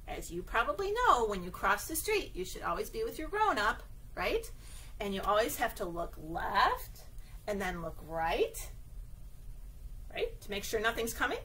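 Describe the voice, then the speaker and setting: A woman with a high voice that swoops widely as she reads to small children. She takes her time in a sing-song story-time manner, often checking in with little questions. There is some noise in the recording. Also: sharp tone, low-quality recording. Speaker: children's librarian reading a picture book. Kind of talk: read-aloud story time for young children.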